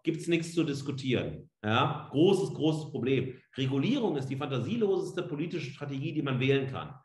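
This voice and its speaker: A middle-aged man gives an emphatic, freely spoken online lecture, leaning on rhetorical questions and short tag words. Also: on the high side. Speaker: professor giving a guest lecture. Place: indoor room, on video call. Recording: roomy, echoing indoor sound.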